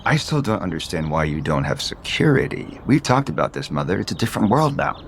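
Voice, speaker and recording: A man's voice: deep voice, very animated, brisk, a little hiss or hum. A male actor playing a guarded, conflicted adult son and unhappily married father in an audio soap opera. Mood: dismissive. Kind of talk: naturalistic soap opera acting.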